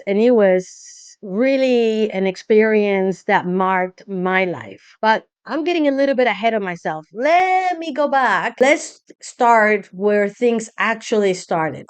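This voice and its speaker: A woman's voice: mid-range voice, expressive, takes it slowly, few pauses, clean tone. A middle-aged woman from Guatemala, a business coach, telling a personal story to camera in a candid, conversational way with self-deprecating asides.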